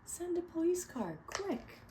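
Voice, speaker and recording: A woman voicing an excited police officer yelling urgently in a storybook. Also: very high voice, takes it slowly, a little hiss or hum, pitched above the performer's own voice, full character voice.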